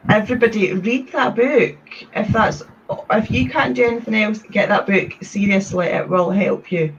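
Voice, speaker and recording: A woman's voice: medium pitch, normal speed. A woman, a guest speaking earnestly and emphatically over a video call. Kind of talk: casual interview chat. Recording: distant, dull video-call audio.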